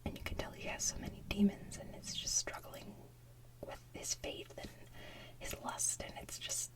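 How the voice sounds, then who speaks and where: A woman hosting ASMR, chatting casually in a soft whisper like an enthusiastic fan who rambles as she goes. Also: distant mic, rough recording. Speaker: ASMR video host.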